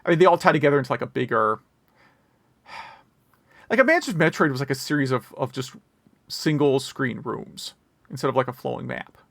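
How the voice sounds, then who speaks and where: A male podcast host with a creaky, gravel-edged voice at a middling pitch. He talks casually and rambles into asides, recorded dry and close. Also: lively intonation, moderate pace, pauses often, a bit bright, no room sound. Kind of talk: casual retro gaming news chat.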